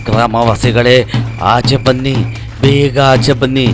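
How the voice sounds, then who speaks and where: A man's voice: mid-range voice, steady tone, slow pace, music in the background, natural voice. A man voicing an earnest village character in a moral story, speaking in a declamatory way. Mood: alarmed. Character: earnest village men: leader and alarmed old visionary.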